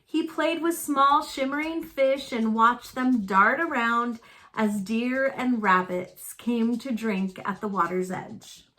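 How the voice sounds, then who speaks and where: Woman, higher-pitched voice, children's read-aloud narration, slow and deliberate, storyteller for young listeners. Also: sharp tone, poor audio. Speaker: storyteller reading a picture book aloud to children.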